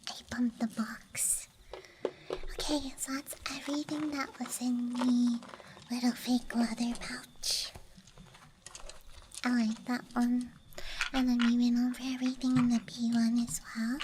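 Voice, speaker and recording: A woman softly whispering an ASMR show-and-tell in a hushed, unhurried murmur, with trailing phrases. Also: a little high, flat delivery. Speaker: ASMR host. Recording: clear background noise, night ambience. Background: night campground ambience and clicking of handled objects.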